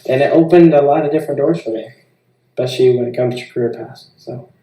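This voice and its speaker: A young American man giving informal, somewhat halting answers as a student being interviewed. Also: medium pitch, dark tone. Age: young adult. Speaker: interviewee, student filmmaker and musician. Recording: echoey room, distant mic.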